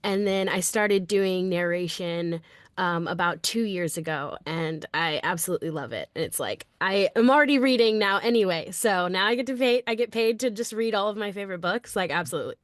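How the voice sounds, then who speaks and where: A woman's voice: mid-range voice, a little fast, no echo, studio quality. A woman who does voice acting and narration, chatting casually and upbeat on a panel. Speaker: voice actor and narrator. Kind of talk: panel chat.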